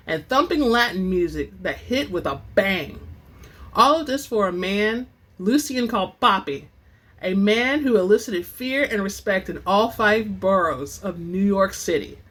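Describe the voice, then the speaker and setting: Woman, book reader and host, theatrical delivery, expressive, informal touches. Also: medium pitch. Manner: informal, says y'all. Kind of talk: dramatic audiobook-style reading with casual asides.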